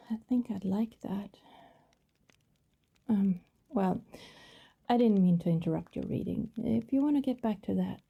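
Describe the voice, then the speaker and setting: A woman playing a coy, affectionate vampire neighbor in an intimate ASMR roleplay, speaking gently and hesitantly, often dropping to a whisper. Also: mid-range voice, vocal fry, slightly rough, lo-fi sound. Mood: warm, shyly enthused, then friendly, accommodating.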